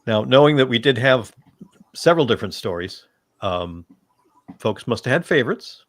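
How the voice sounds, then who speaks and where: A man's voice: mid-range voice, very animated, moderate pace, a bit bright. A man hosting a casual panel discussion, speaking conversationally. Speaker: panel host.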